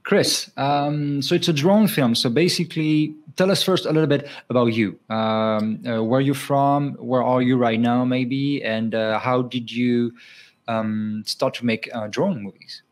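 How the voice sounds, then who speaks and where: Male host, mid-pitch, upbeat and welcoming, asks questions and keeps the interview moving. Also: fairly even intonation, unhurried. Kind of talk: hosting an interview.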